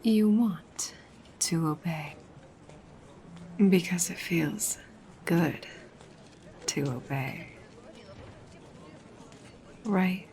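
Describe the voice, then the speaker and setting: A woman playing a dominant, teasing goddess girlfriend in an ASMR roleplay, soft, breathy and often whispering, coaxing and commanding. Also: on the low side, very slow, long pauses, slight background noise. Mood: playful, possessive.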